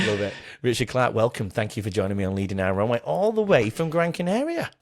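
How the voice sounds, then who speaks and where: A man's voice: medium pitch, very animated, fast, no room sound, clean studio sound. A man with an English accent hosting a podcast in a casual, conversational interview style. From Britain. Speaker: podcast host.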